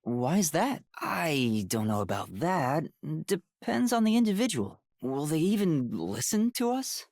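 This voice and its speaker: Young trainee detective, timid, earnest, breathy, very animated pitch, polite and hesitant, anime game dialogue, dry close sound. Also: male voice, a little slow, hardly any pauses, no echo. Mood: unsure, hesitant. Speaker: voice actor in a detective video game. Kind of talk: English anime-style game voice acting.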